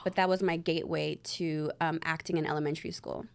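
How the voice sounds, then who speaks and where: A woman's voice: low voice, level tone, fast, gravelly. A woman from Bangladesh, an actress and writer, answering interview questions thoughtfully in a podcast studio, with some hesitation.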